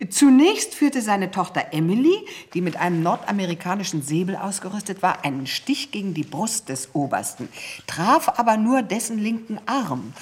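Prim older lady, swooping intonation. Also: fairly low pitch. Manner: theatrical, precise, mannered delivery. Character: prim older genteel lady, motherly and admonishing, amused by scandal. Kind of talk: German radio play acting, reading a newspaper aloud and conversing.

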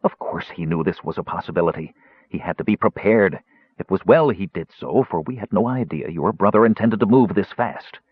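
A man voicing a stern, solemn mage in an audiobook, giving soft, measured and commanding instructions. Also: low voice, big pitch swings, husky, no room sound, highs cut off, heavily acted. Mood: angry. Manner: soft, measured, hushed orders.

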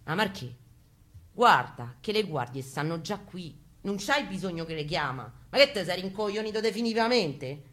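A woman's voice: on the low side, animated, moderate pace, fairly bright tone. A woman voicing a streetwise, protective comrade with a Roman accent, blunt and urgent, in a spoken-word reading. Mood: exasperated. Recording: live guitar under the voice.

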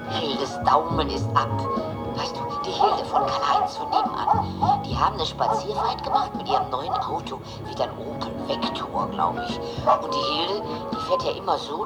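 Gossipy chatterbox caller played by an actor, voice all over the place, rambling on over loud music. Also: medium pitch, close to an everyday voice. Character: gossipy neighbourly caller prattling about a gruesome mishap. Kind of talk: radio drama acting. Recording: music under the voice.

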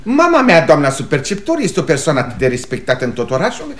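An actor playing a stiff, formal provincial brother in a theatrical radio comedy. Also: male voice, a little high, wildly swooping pitch, a bit bright, echoey room. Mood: stiffly offended.

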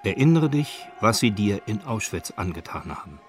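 A man's voice: lowish voice, big pitch swings, normal speed, faint music behind. A man reads scripted radio feature narration in a factual, precise way. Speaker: documentary narrator. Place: dry studio.

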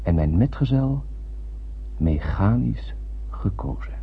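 A man's voice: fairly low pitch, expressive, takes it slowly, creaky, full character voice. An actor playing a wondering, nervous spaceman who narrates a radio drama in a musing, theatrical way. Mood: musing, wry. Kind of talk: dramatic first-person radio play narration. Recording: narrow lo-fi old radio recording, faint noise.

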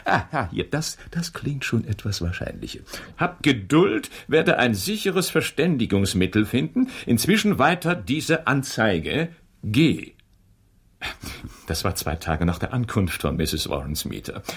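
An actor playing an eccentric detective who reasons aloud in a German radio drama, with a husky, gravelly voice and a musing, declamatory manner. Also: male voice, medium pitch, big pitch swings, exaggerated voice. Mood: eager, musing. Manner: theatrical, musing, declaims read-out notices slowly.

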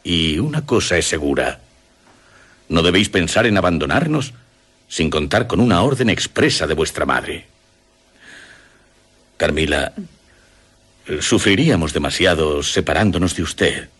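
A man's voice: low-pitched, animated, a little slow, pauses often, a bit bright, breathy edge, full character voice. An actor playing a courtly, old-fashioned, protective middle-aged father in a radio drama, formal and gallant in his delivery. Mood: solemn, protective. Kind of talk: Spanish radio drama acting.

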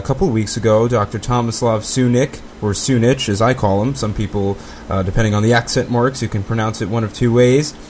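A man's voice: mid-range voice, a little mellow, a little hiss or hum. A man hosting a talk show, speaking with an emphatic, declarative delivery. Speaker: podcast host.

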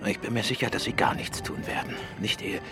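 Low-voiced, daring detective. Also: male voice, steady tone, fairly quick, over loud music. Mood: calm, determined. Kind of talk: German radio drama acting. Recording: studio radio play with clear background noise.

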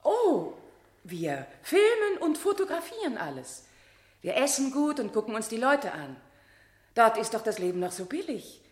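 A woman's voice: fairly high pitch, sing-song, normal speed. An actress in a seventies radio play, playing an emotional mother who insists on claiming her lost son. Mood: chatty, insistent. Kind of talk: 1970s German radio play acting. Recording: echoey room.

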